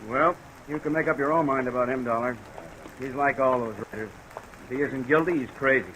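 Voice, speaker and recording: An actor playing a world-weary American police lieutenant with a casual, clipped manner in a 1950s radio crime drama. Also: male voice, medium pitch, a little fast, a bit bright, lightly acted. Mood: brisk, cynical. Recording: noisy old radio transcription.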